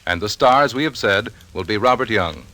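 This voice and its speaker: A man's voice: on the low side, expressive, brisk, sharp tone, rough recording. A man working as a network announcer, reading in a formal, projected 1940s radio style.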